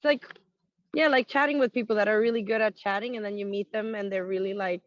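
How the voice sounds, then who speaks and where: A woman from Sweden, a rock singer with a naturally raspy voice, now extra hoarse from touring, talking frankly in a casual interview with frequent laughs and restarts. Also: medium pitch, steady tone, quick pace, no echo, muffled recording. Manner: frank, laughs often, many fillers and restarts.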